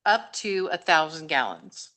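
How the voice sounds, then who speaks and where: Woman on a city council, low pitch, bright, forceful voice, pushes her points emphatically. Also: very animated, measured pace. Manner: forceful, emphatic, self-described big voice. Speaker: council member. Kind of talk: council workshop discussion.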